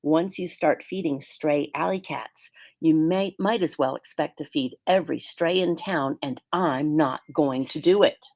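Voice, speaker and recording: Woman reading as a stern mother, low-voiced. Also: unhurried, hardly any pauses, a little mellow, dry recording. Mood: stern, indignant. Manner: scolding, firm. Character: stern angry mother who hates stray cats.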